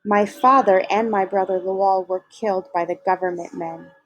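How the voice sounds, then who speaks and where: A woman's voice: mid-range voice, steady tone, normal speed, muffled recording, faint music behind, close to an everyday voice. A woman voicing a wide-eyed, polite refugee boy who speaks formally and carefully. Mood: somber.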